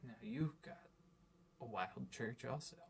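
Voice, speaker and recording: A man's voice: medium pitch, unhurried, creaky, slight background noise. A man chatting casually while playing a board game on a stream, thinking aloud and explaining things pedantically. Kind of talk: casual game commentary chat.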